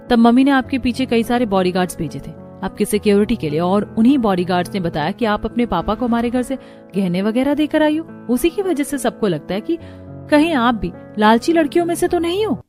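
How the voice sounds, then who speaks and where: A woman's voice: a little high, lively intonation, fairly quick, darkish tone, faint music behind, lightly acted. A woman playing a frank young sister-in-law from a wealthy family in a story, gossipy and matter-of-fact. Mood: explaining.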